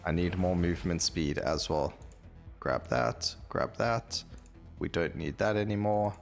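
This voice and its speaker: A man's voice: low voice, animated, measured pace, vocal fry. A man giving casual gameplay commentary, rambling and thinking aloud as he plays. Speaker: gaming video host. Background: game music.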